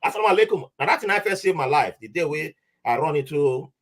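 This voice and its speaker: A man's voice: on the high side, quick pace. A man with a Nigerian accent, casually telling a story as a podcast guest, slipping into Pidgin and acting out voices. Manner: animated, mixes Nigerian Pidgin, acts out quoted voices.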